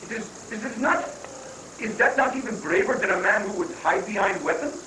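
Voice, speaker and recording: A high-voiced, coaxing guru played by a man counsels patiently in stilted, halting phrases. It is a satirical play reading, heard from off the mic in an echoing, noisy room. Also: expressive, sharp tone. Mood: earnest counsel. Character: calm, coaxing male guru advising a president. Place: roomy indoor live reading, far from the mic. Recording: roomy, distant, noisy 1980s live recording.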